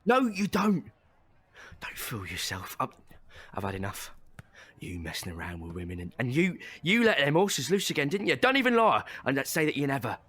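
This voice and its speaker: A man playing a husky, scolding circus father. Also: medium pitch, sing-song, normal speed, sharp tone, full character voice. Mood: angry, scolding. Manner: scolding, blunt, informal. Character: stern, fed-up Victorian circus father, middle-aged. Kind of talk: audio drama acting.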